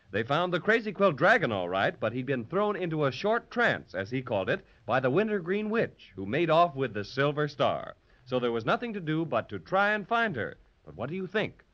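Guy narrating an old 30s kids radio serial, lively highish voice, muffled recording. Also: brisk. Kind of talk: scripted 1930s children's radio storytelling.

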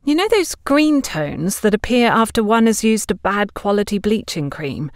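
A woman's voice: mid-range voice, sing-song, moderate pace, no echo, studio quality, voice pushed up. A woman voicing a nervous, earnest young woman in an audio story. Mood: flustered, defensive. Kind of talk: audio story character dialogue.

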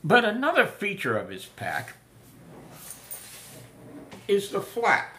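An older man talking through the history of a piece of camping gear in a folksy, unhurried way, with many filler sounds. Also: high voice, wildly swooping pitch, bright, forceful tone. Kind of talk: casual spoken history lesson. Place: small room indoors.

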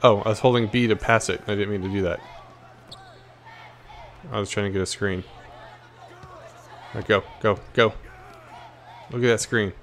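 A man's voice: on the low side, steady tone, normal speed, pauses often, a little hiss or hum. An American man giving casual, playful trash-talking commentary as he plays a video game, jumping between exclamations and muttered asides. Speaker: gaming video host. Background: basketball video game sounds.

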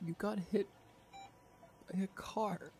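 Remorseful young boyfriend, played by a man, ASMR roleplay: slow, halting, tender, close-miked over faint background noise. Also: high voice, fairly even intonation. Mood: remorseful, pleading. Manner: halting, soft-spoken, emotional delivery with pauses. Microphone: close studio condenser microphone.

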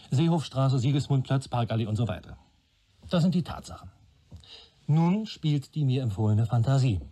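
An actor playing a keen young police trainee in a German radio play, arguing in a wry, sardonic way. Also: male voice, medium pitch, mellow, slightly rough. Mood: wry, reasoning. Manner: wry, argumentative.

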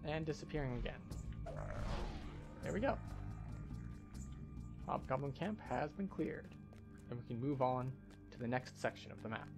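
A man's voice: mid-range voice, lively intonation, moderate pace, pauses often, music in the background. A man giving commentary as host of a video game playthrough. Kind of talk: video game commentary and dialogue read-aloud.

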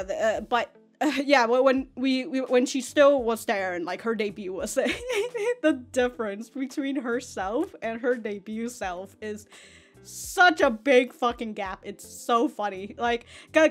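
A woman's voice: high voice, lively intonation, a little slow, faint music behind. A young woman hosting an anime reaction video, rambling loosely and swearing often as she laughs between thoughts into a studio mic. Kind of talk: casual reaction commentary. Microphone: large studio mic in front.

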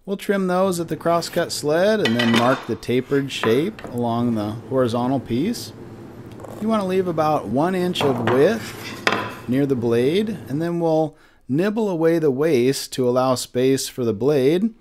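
A man's voice: a little high, animated, a little slow, noisy background. A man in his forties giving a friendly step-by-step woodworking lesson in his wood shop. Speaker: woodworking instructor. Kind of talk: instructional shop tutorial. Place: wood shop with lumber racks, indoors.

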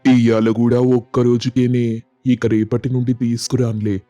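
A man's voice: medium pitch, little pitch movement, normal speed, dark tone, dry recording, lo-fi sound, soft background music, lightly acted. A man playing a food-loving, stubborn village husband in a moral story, speaking colloquially in a pleading, grumbling way. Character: food-obsessed, stubborn village husband craving chicken pulao. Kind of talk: Telugu moral story voice acting.

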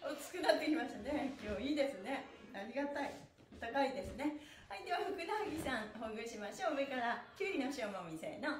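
A middle-aged woman leading a stretching class with energetic, encouraging cues, often in a rhythmic chant. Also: a little high, a little hiss or hum. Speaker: stretching instructor and livestream host. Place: small bare-walled indoor room. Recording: echoey room.

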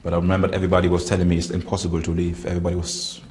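A man, recounting events haltingly in an interview and searching for words. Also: low voice, monotone, a little mellow. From Germany. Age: 20s. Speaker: interviewee, former militant. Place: indoor room with barred windows.

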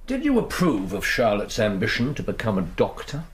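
A man playing a brisk, impatient English gentleman detective in a radio drama, precise and probing, with an English accent. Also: fairly low pitch, huge pitch swings, husky, full character voice. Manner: clipped, precise, inquisitorial.

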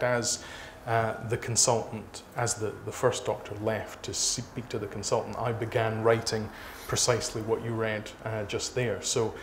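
A man in his thirties speaking haltingly as an author being interviewed on stage. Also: lowish voice. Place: small dark stage before a seated audience. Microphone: lapel mic. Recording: noisy live event room recording.

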